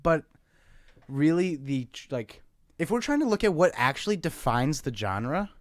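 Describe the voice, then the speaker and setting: Lively-voiced teasing male co-host. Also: fairly high pitch, normal speed, dry recording, clean studio sound. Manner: teasing, asks probing follow-up questions. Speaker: radio co-host. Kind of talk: comedic banter.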